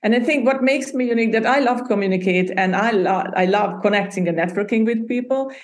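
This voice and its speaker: A woman's voice: fairly high pitch, a little fast. A woman from Hungary who speaks Hungarian as her first language, chatting as a podcast guest and often laughing as she talks. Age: adult. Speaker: podcast guest, agency founder. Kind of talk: casual interview chat.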